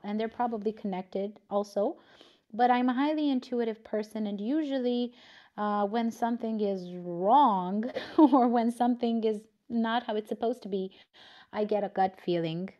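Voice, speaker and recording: A woman's voice: on the high side, lo-fi sound. Romanian woman with an American-tinged accent. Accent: Romanian, American-sounding. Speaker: podcast guest. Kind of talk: casual conversation. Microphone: remote audio room.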